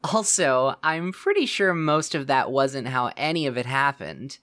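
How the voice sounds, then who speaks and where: A woman playing a sceptical young sidekick in an audio drama, deadpan and matter-of-fact with a teasing edge. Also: very deep voice, a little slow, full character voice. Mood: amused scepticism. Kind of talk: audio drama acting, conversational.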